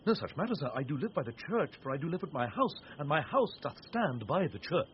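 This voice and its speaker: Impudent fool for Shakespearean radio comedy, very lively pitch, teasing wordplay, slightly noisy recording. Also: male voice, quick pace. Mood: playful, clever. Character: witty male jester, impudent, wordplaying and wheedling. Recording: dull radio recording, faint noise.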